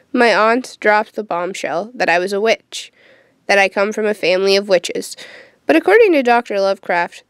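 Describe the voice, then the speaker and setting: A young woman playing a sardonic, troubled teenage witch in an audio drama, with a wry, deadpan manner. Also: medium pitch, clean tone, dry recording, studio quality, natural voice. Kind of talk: audio drama acting with first-person narration.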